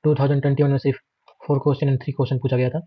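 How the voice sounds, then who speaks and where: Fast, flat-toned Indian man teaching. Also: medium pitch, husky, dry recording, lo-fi sound. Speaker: exam prep instructor. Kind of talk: informal screen walkthrough lesson.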